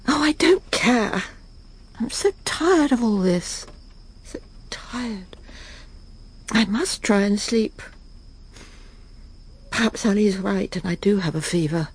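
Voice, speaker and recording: A woman playing a prim, weary, middle-aged English lady in a radio drama, halting and polite, murmuring to herself with an English accent. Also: mid-range voice, a little hiss or hum. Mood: weary, self-soothing. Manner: polite, halting, breathy murmured self-talk. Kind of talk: radio drama acting, intimate monologue and dialogue.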